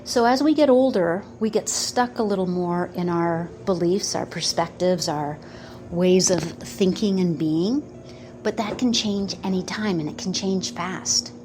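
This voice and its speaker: Slow-talking woman giving a motivational talk. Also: fairly low pitch, background noise. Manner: slow, pausing, earnest, quotes doubters in put-on voices. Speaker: manifestation coach.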